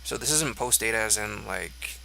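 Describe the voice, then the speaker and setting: A man's voice: on the low side, moderate pace, gravelly, sharp tone, slight background noise, poor audio. A congested man thinking aloud as he walks through code on screen, musing and offhand. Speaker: code reviewer. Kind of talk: screen-recorded code walkthrough.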